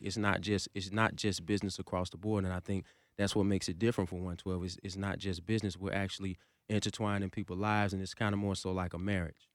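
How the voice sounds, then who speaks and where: Male guest, rapid storyteller, low resonant voice, dry sound. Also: clear, resonant tone, no echo. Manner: fast storytelling, many "you know" fillers. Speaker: interview guest, singer. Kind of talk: casual interview. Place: podcast studio.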